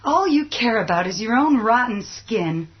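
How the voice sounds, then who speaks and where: A woman playing a worried mother and weary, sharp-tongued wife in a horror radio play. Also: fairly high pitch, expressive, normal speed, slightly rough. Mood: bitter, scolding. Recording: dull, muffled audio.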